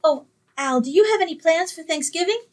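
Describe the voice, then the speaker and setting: A woman's voice: extremely high pitch, animated, a little fast. A woman playing a sweet, naive, scatterbrained young single woman in old-time radio comedy style. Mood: sweet, credulous. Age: young adult. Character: sweet, dim-witted, literal-minded young single woman.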